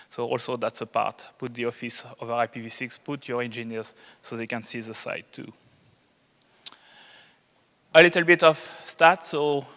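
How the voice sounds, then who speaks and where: A man's voice: medium pitch, bright, forceful tone. A man with a strong French accent giving an unscripted technical presentation as a conference speaker. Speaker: conference presenter, network engineer. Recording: reverberant hall.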